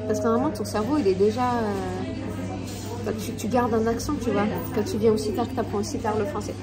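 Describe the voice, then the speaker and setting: A woman's voice: medium pitch, steady tone, fairly quick, loud music behind the voice. A woman from France, talking casually to her husband while filming on her phone. She laughs often and restarts her sentences a lot. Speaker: vlogger. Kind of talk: casual chat. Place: home in Switzerland. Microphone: phone held in hand.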